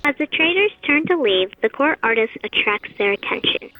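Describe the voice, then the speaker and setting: A woman's voice: high-pitched, expressive, moderate pace, dry recording. A woman reading scripted narration for an audio play. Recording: tinny, phone-like band-limited audio.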